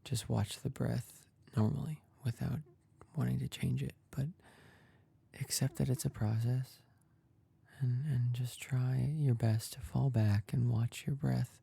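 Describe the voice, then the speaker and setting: A man leading a guided meditation in a soft, breathy, husky voice, calm and gentle, with long pauses. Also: medium pitch.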